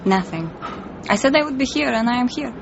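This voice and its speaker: A woman's voice: on the high side, animated, a little fast, vocal fry. An actress playing a young Russian woman spy in a radio drama, tender but defiant and secretive, with a Russian accent. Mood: teasing. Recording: dull lo-fi recording, background noise.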